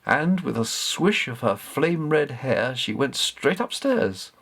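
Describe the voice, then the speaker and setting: A man reading a chapter of his own story as expressive, dramatic narration. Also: mid-range voice, slow pace.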